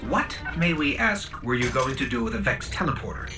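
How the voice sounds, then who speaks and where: Guy voicing a polite little robot sidekick, very expressive, formal n precise, bit distant, game cutscene. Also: medium pitch, brisk, music in the background, natural voice. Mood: stern. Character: small, earnest male robot companion, polite and precise. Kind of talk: video game cutscene acting.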